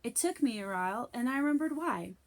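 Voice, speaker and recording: A woman's voice: a little high, lively intonation, brisk, darkish tone, voice pushed up. Woman doing a laid-back, slangy schoolboy. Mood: casual. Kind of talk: children's book read-aloud.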